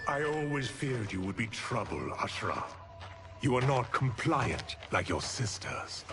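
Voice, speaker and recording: A man playing a domineering sorcerer villain in a video game, measured and disdainful. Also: mid-range voice, very animated, music in the background.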